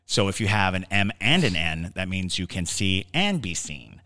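A man co-hosting a podcast, telling stories and explaining in a casual but halting, deliberate way with drawn-out words. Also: low voice, big pitch swings, bright, forceful tone, no room sound, studio quality. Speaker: podcast co-host. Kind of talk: casual discussion and storytelling.

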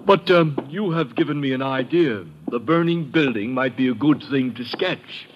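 An actor playing a bored, deferential hotel clerk who speaks hesitantly in 1950s radio drama style. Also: male voice, medium pitch, normal speed, close to an everyday voice. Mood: polite, languid. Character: polite, languid, bored male hotel desk clerk. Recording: dull, faintly noisy old radio recording.

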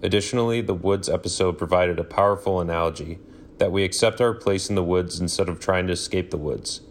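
A man from Michigan reading a sincere, measured listener message to a podcast. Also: deep voice.

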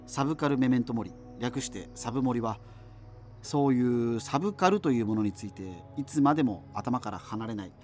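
A man's voice: mid-range voice, animated, fairly quick, darkish tone, lightly acted. A man playing a wistful, self-effacing radio show host who rambles through a scripted monologue. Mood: presenting, low-key. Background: music.

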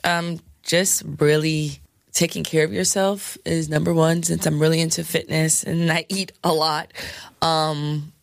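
A woman DJ with a scratchy, hoarse voice, chatting frankly in an interview with lots of fillers and laughs. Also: deep voice, flat delivery, a little slow, no room sound, clean studio sound. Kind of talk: candid casual interview talk.

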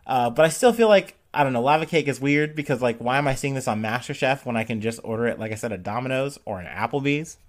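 A man's voice: medium pitch, animated, quick pace, few pauses. Laid-back joking podcast host, a man. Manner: loose, joking and irreverent, many ums; drinking and smoking while talking. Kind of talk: casual news commentary podcast.

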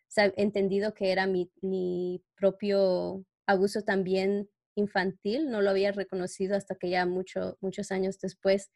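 A middle-aged woman who grew up in New York, talking as an interview guest. Her pitch sits in an ordinary range for a woman. She speaks reflectively and personally, often halting to find the right word. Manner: halting, searches for words in Spanish. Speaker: interview guest, educator for parents who are abuse survivors.

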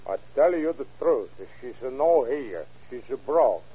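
Excitable Italian immigrant, husky, swooping pitch, broken English, indignant, emphatic, old radio drama, muffled recording. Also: male voice, measured pace, exaggerated voice. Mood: defensive.

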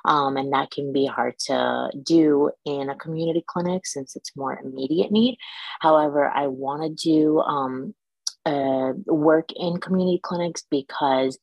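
A young woman from Iraq, a dental student, answering interview questions in a measured, thoughtful way with many pauses. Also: low voice, vocal fry, no echo, lo-fi sound.

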